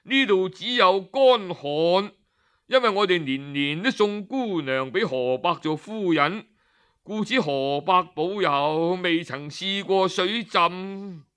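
A man's voice: high-pitched, animated, slow, fairly bright tone, clean tone, exaggerated voice. A man doing a sighing old village elder. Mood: lamenting. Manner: lamenting, sighing.

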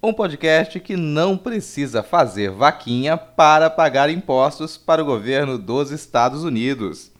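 A man's voice: a little high, expressive, measured pace, few pauses. A man with a Brazilian accent hosting a podcast in casual chat. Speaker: podcast host.

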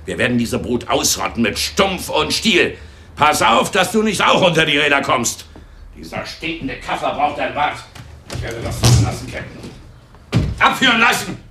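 An actor playing a menacing, sneering secret police officer and racist bully in a German radio drama, mocking and given to barking orders. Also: male voice, fairly high pitch, big pitch swings, normal speed, pushed and bright, a little hiss or hum, heavily acted. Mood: furious, barking. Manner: mocking, sneering, barks orders when angered.

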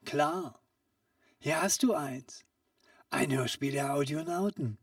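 Woman as a low, sly whispering peddler. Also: takes it slowly, dry recording, studio quality, distant mic, heavily acted. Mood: coaxing. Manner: conspiratorial hushing, coaxing, often dropping into whispers. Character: sly, secretive street peddler hawking invisible goods. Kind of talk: comic sketch audio play.

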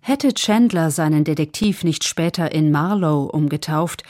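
A woman's voice: on the low side, darkish tone. A woman presenting on radio, reading a scripted programme introduction.

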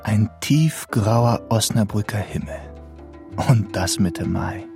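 Male narrator: brooding, slow, mid-range, dramatic scripted read with music bed. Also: on the low side, creaky.

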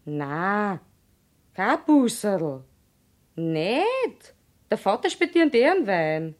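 A woman's voice: medium pitch, big pitch swings, slow. An actress playing a pert young Viennese woman in a radio play, saucy and dismissive toward her suitors.

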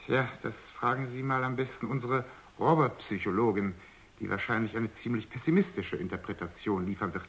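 An actor playing a skeptical, sardonic research scientist in a radio drama, wry and argumentative. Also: male voice, mid-range voice, fairly even intonation, a little fast, natural voice. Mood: wry, concerned. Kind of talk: German radio drama acting. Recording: muffled, dull radio drama recording.

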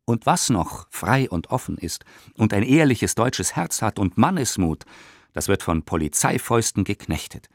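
An actor in a radio play reciting an 1890s newspaper polemic as an impassioned, indignant reader with emphatic rhetorical delivery. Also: male voice, on the low side, sing-song, moderate pace, no room sound, clean studio sound. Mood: impassioned outrage. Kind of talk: dramatic radio play recitation of historical press text.

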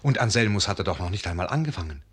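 A man narrating a literary fairy tale in a measured storytelling manner. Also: sits low, animated, a bit bright, slightly rough.

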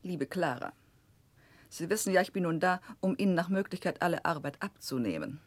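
An actress playing a severe, haughty middle-aged spinster in a 1960s German radio drama, curt, stiff and disapproving. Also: female voice, fairly low pitch, a little fast, no room sound, natural voice. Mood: bossy, falsely polite.

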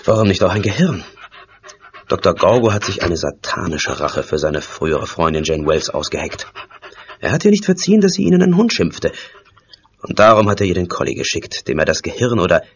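A man playing a wry, sardonic, quick-witted investigator in a German horror audio drama. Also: sits low, big pitch swings, slight background noise. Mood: wry, grim. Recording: dull, muffled audio-drama tape.

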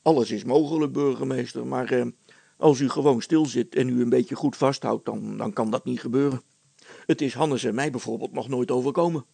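A man's voice: mid-range voice, brisk, gravelly, dark tone, slightly rough, no echo. A man voicing a cheerful, confident village smith and inventor in a storybook, breezy, reassuring and matter-of-fact.

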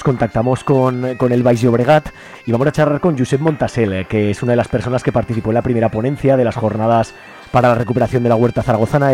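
A male radio host speaks quickly in Peninsular Spanish over faint music. His interviewing is informal and conversational, and he often checks his phrases with short questioning tags. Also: mid-range voice, mellow. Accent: Spanish, from Spain.

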